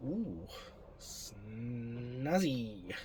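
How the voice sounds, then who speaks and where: A man's voice: medium pitch, very animated, extremely slow. An Irish man gives casual hands-on repair commentary in a wry, self-deprecating way, muttering to himself as he works. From Ireland. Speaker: electronics repair hobbyist presenting. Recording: clearly noisy home video recording.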